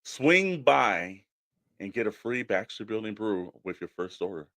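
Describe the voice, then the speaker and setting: A male commercial announcer, acted by a man, delivers a scripted radio spot with deliberate salesmanship. The voice is on the low side. It sounds dry and close. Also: sing-song, fairly bright tone, dry recording, full character voice. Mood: promotional. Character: male commercial announcer pitching heroic fast food.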